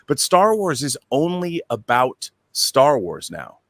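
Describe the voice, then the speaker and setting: A man's voice: medium pitch, very animated, slow, no echo. A man giving emphatic, opinionated panel commentary, repeating himself and asking rhetorical questions. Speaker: show panelist.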